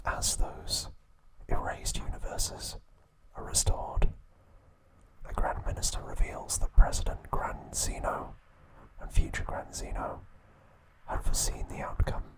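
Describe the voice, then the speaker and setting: A man hosting an ASMR video, recapping a story in a soft, unvoiced whisper. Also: measured pace, rough recording. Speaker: ASMR host.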